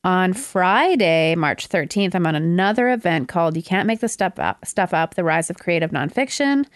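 A woman's voice: on the low side, expressive, moderate pace, no echo, studio quality. A woman, hosting a casual podcast in an informal, wry way. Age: forties. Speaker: podcast host. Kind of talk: casual chat.

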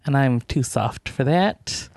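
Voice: mid-range voice, expressive, slow pace, vocal fry, a little mellow, no room sound. Casual anecdote-teller for a chat podcast, self-deprecating, laughs through sentences, dry sound. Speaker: podcast guest.

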